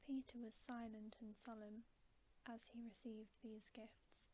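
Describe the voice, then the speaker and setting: A woman reading a bedtime story as ASMR in a soft, breathy whisper, gentle and hushed. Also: fairly high pitch, poor audio. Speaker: ASMR reader.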